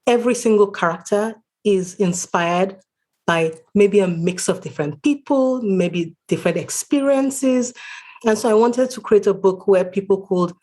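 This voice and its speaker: A woman's voice: mid-range voice, normal speed, mellow. A woman from Nigeria speaking as an author guest in a conversational interview, earnest and explanatory.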